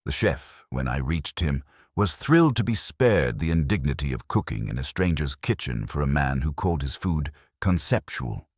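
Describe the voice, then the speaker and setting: A man reading a first-person detective story as an audiobook narrator, in a wry, measured storytelling manner. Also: rumbling low, sing-song, husky, no echo, clean studio sound.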